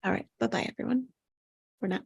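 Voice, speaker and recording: A woman's voice: medium pitch, fairly quick, vocal fry, darkish tone, slightly rough. A woman hosting an online table read, chatting casually. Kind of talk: casual chat.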